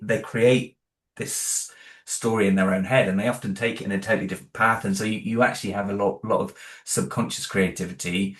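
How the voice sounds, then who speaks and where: Deep-voiced man in an echoey room. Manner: thoughtful, halting, many restarts and "you know". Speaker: creator of an audio drama, interview guest. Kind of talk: casual interview talk.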